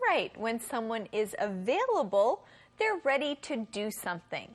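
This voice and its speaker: A woman's voice: fairly high pitch, sing-song, moderate pace. A woman co-hosting an English lesson, giving simple scripted explanations with careful enunciation. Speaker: co-host of an English lesson show.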